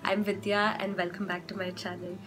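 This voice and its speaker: A young woman vlogging with chatty parenting advice, her voice hoarse from a cold, often stopping to rephrase with fillers. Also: medium pitch, flat delivery, brisk. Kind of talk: casual advice vlog.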